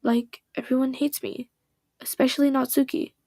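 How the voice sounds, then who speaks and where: A shy teenage girl full of self-doubt, voiced by a woman. She sounds high and hesitant, picking her words with care. Her voice is slightly hoarse and recorded dry and close. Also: little pitch movement, normal speed, mellow, dry recording. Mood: anxious.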